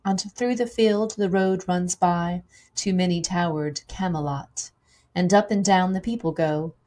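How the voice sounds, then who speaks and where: A woman reading poetry aloud in a dramatic recitation, with measured, rhythmic phrasing that falls away at the ends of lines. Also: on the low side, dark tone. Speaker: poetry reader.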